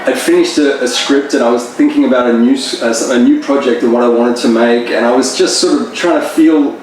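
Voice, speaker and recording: A man's voice: medium pitch, steady tone, brisk, darkish tone. A man, a filmmaker answering interview questions in a reflective, thinking-aloud way. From Australia. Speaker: filmmaker guest. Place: festival venue. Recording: roomy echo, distant mic, faint ambience.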